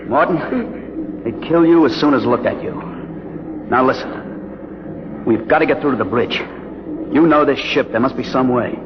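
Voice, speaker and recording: A man's voice: mid-range voice, expressive, measured pace, long pauses, lightly acted. An actor playing a determined, commanding young spaceman leading a mutiny in a 1950s radio drama. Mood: pressing. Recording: noisy muffled 1950s radio recording.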